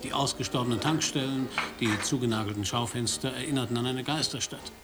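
A man's voice: medium pitch, quick pace, noisy background, close to an everyday voice. An actor playing a dry, ironic male American radio reporter in a radio play. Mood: narrating. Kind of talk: radio play narration and dialogue.

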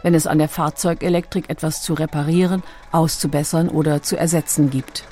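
A woman narrating a scripted documentary in a measured, composed manner. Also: low-pitched, flat delivery, mellow, soft background music.

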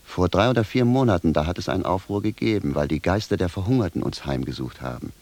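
A plain country cobbler for radio drama. The voice is very deep and recorded dry and close. He is blunt and bewildered, a stubborn, aggrieved common man. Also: expressive, quick pace, darkish tone, no room sound. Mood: earnest, recounting. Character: plain-spoken, bewildered, stubborn village cobbler, grumbling indignantly. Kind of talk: theatrical radio drama dialogue.

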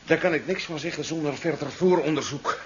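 A man playing a sceptical, pragmatic scientist in a seventies sci-fi radio drama. He talks bluntly and lectures in a gruff, stubborn way. The old recording is dull, with light noise behind it. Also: fairly high pitch, fairly quick, close to an everyday voice. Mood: measured explaining. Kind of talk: 1970s science fiction radio play acting.

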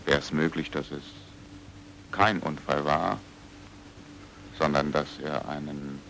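Very deep-voiced, dogged amateur investigator. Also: male voice, steady tone, takes it slowly, vocal fry, fairly bright tone, full character voice. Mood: probing. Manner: insistent, probing, polite. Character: dogged, earnest foreign man investigating a friend's death. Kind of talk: German radio crime drama acting. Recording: old radio recording with background noise.

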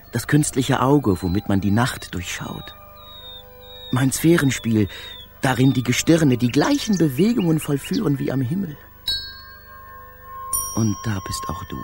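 An actor playing a dreamy, fervent poet-inventor and space traveller in a literary radio drama, with a theatrical, poetic delivery. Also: male voice, medium pitch.

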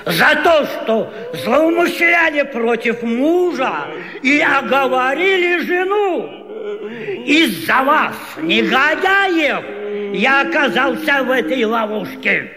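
An actor playing a proud, easily indignant padishah in a radio play, declaiming theatrically. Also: male voice, extremely high pitch, big pitch swings, takes it slowly, long reverb tail, a crowd in the background, heavily acted. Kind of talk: radio vaudeville acting.

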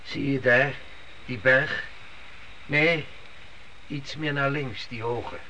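A man's voice: mid-range voice, slow, bright, forceful tone. An actor playing a stern, devout middle-aged father in a Dutch radio play, commanding and solemn. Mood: resolute. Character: stern, devout father leading his family through the desert. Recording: noisy old radio drama recording.

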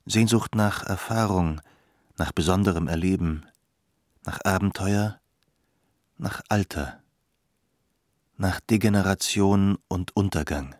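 A man's voice: deep voice, slow, long pauses, a little mellow, no room sound, clean studio sound. A man giving scripted literary narration in a radio play.

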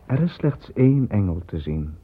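A man narrating an old radio play in a solemn, measured, incantatory way. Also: on the low side, very animated, husky. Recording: tinny old radio recording with music.

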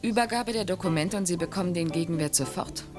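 Radio play actress as guarded rich woman buyer, low-pitched, insistent, businesslike, over music. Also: fast, natural voice. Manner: formal, controlled, insistent. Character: cool, wealthy female buyer from Brazil, businesslike, guarded. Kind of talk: 1980 German radio drama acting.

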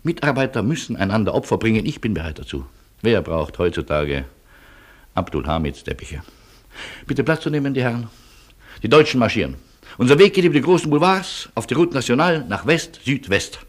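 An actor in a German radio play playing a courteous, wry refugee man, husky, speaking in polite, ironic and wordy turns. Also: medium pitch, sing-song, a little fast. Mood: urgent, indignant.

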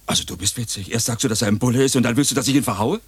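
A man's voice: mid-range voice, very fast, husky, dry recording. An actor playing a polite, evasive uncle in his thirties, a drag dancer, in a satirical radio play. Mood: wary. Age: 30s. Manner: polite, hesitant, defensive.

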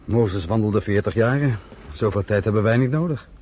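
Devout young refugee in a radio play, low mellow voice, earnest and determined. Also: male voice, moderate pace, husky. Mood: resolute. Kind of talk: Dutch radio drama acting. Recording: slightly noisy old radio play recording.

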